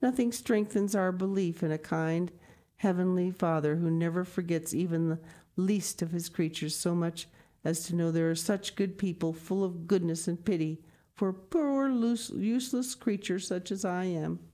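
A woman voicing a poor, frail old grandmother who speaks gently and with a sigh. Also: on the low side, very animated, normal speed. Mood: grateful. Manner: gentle, sighing and tremulous.

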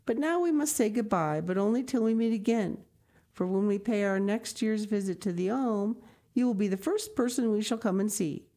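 A gracious, well-to-do lady voiced by a woman speaks in a warm, firm and reassuring way. Her voice sits a touch above the narrator's and has a mellow tone, in a storybook reading. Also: medium pitch, moderate pace, pitched above the performer's own voice, close to an everyday voice. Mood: kindly. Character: kindly, dignified wealthy lady, reassuring.

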